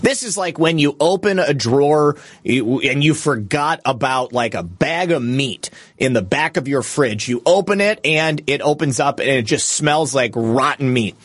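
A man's voice: mid-range voice, expressive, moderate pace, no echo. A man hosting a political livestream, giving opinionated news commentary with casual asides in a scornful, indignant, crudely humorous manner.

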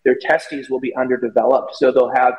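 A man's voice: mid-range voice, flat delivery, brisk, a little mellow. Echoey man giving opinionated commentary. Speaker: guest commentator on a talk show. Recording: echoey room with long reverb.

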